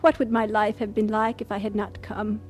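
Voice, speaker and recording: An actress playing a devoted missionary doctor, reflective and sincere, narrating and acting in an audio drama. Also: female voice, mid-range voice, flat delivery, normal speed, lightly acted. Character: composed, devoted female missionary doctor, reflective and warm-hearted.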